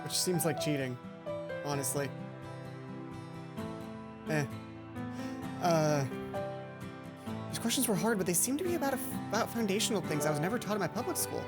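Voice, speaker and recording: A playful video game host reads visual novel text aloud and comments along the way. The delivery rambles and often breaks off to restart, at a middling pitch between low and high, with game music clearly playing underneath. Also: very animated, moderate pace, long pauses. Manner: rambling, self-interrupting, playful.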